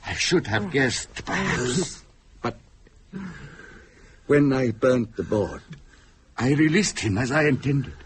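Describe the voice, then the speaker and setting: An actor playing a grave older spirit medium in a supernatural radio drama, with a rough husky voice and formal, solemn phrasing. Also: male voice, mid-range voice, animated, takes it slowly, long pauses, a little hiss or hum. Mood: grave, regretful. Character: grave older male spirit medium, Mister Asif, weary and intense.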